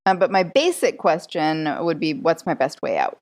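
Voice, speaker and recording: A woman's voice: sits low, animated, moderate pace, a little mellow. A woman playing a tabletop game, chatting casually as she questions and thinks her options through aloud. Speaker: player in a tabletop RPG.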